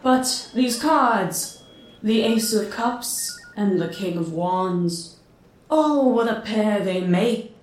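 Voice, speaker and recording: A haughty, mysterious fortune-teller, played by a woman, speaks with theatrical grandeur. Her delivery is drawn out and very slow, in a roomy space at some distance from the mic. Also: on the high side, a little mellow, a little hiss or hum. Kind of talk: audio drama acting. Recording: roomy, set back from mic.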